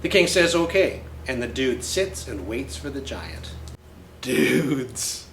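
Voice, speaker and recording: A man's voice: mid-range voice, very animated, takes it slowly, fairly bright tone, slight background noise. Brash man reading a storybook, echoey room. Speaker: teacher reading a picture book aloud. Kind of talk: storybook read-aloud in character as a brash boy. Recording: roomy echo with faint music.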